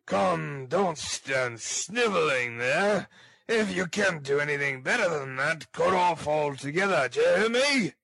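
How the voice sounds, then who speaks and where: Man acting a savage, growling housebreaker, loud and raised. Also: fairly high pitch, takes it slowly, creaky, dry recording, pitched above the performer's own voice, full character voice. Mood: sneering, angry. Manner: growling, barking, cursing. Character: brutal, ill-tempered housebreaker, savage and impatient man.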